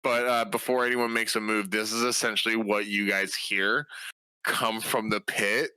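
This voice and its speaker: A man's voice: fairly low pitch, level tone, normal speed, pushed and bright, clear, resonant tone, dry recording, low-quality recording. A man playing a tabletop game, chatting casually in a jokey, rambling way.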